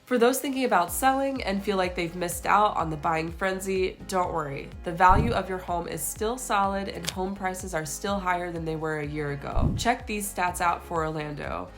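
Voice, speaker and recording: Young female agent, stuffy nasal voice from a cold, a bit low-pitched, bright presenter read over soft music. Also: normal speed, hardly any pauses. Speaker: real estate agent. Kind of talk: scripted market update to camera. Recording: faint music behind.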